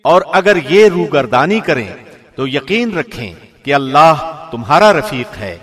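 A man's voice: fairly high pitch, sing-song, fairly bright tone. Man formally reading a scripture translation. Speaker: reader of Urdu Quran translation.

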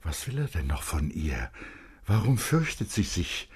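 A man with a husky voice reading literary narration for radio in an expressive, suspenseful manner. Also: deep voice, a little slow. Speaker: narrator, reader.

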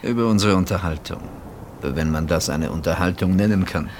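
An actor playing a polite, restrained husband with a courteous, formal, slightly ironic manner in a German radio play. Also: male voice, deep voice, brisk, darkish tone, lightly acted. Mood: wistful, probing. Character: polite, restrained husband receiving his sister-in-law. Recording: radio play with clear background noise.

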